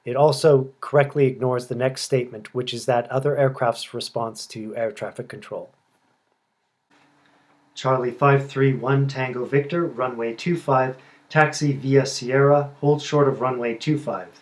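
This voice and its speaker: A man presenting a scripted technical demonstration and reading out air traffic control instructions in a measured, instructional way. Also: medium pitch, steady tone. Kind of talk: scripted demo narration with radio phraseology.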